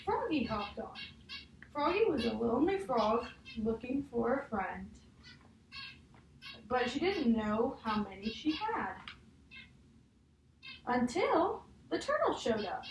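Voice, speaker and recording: A young woman reading a picture book aloud to a child, in an encouraging way with questions to check in. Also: on the high side. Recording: echoey room, distant mic, faint noise.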